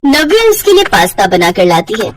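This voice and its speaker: A woman's voice: mid-range voice, big pitch swings, fairly quick. A woman narrating a scripted moral story as a storyteller.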